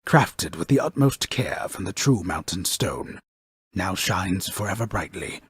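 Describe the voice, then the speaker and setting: A man playing a grave, pompous storyteller in a mythic video game, narrating deliberately with wry, deadpan solemnity and a gravelly voice. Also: low voice, no echo, clean studio sound, full character voice. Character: grave, pompous old storyteller narrating Greek myth, wryly ominous. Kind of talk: scripted dramatic storybook narration.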